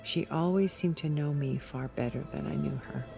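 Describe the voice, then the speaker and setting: An actress playing a composed, secretive, cultured woman in a video game, speaking in a measured, articulate, deliberate way. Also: deep voice, gravelly, a little mellow, faint music behind. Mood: reflective. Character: composed, secretive, cultured older woman, former intelligence broker. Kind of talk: video game cutscene dramatic acting.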